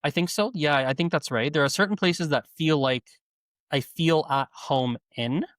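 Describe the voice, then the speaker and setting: A man's voice: a little high, moderate pace, fairly bright tone, no echo, studio quality. A man speaking as a guest in a podcast interview, in an easygoing, loose conversational manner. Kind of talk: casual interview chat.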